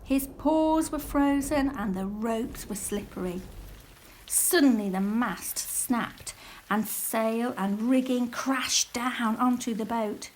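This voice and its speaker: A woman storyteller reads a children's tale aloud. Her voice is fairly high, and she takes her time, telling it expressively with a sense of drama. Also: takes it slowly, a little mellow, a little hiss or hum. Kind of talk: scripted children's story narration.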